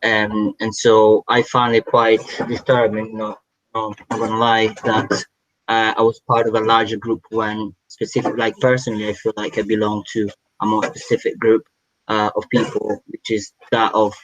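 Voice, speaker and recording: A man asking a long question in an online workshop, halting, with many restarts and fillers. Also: lowish voice, monotone, a bit bright. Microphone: video call. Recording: dull, dry video-call audio.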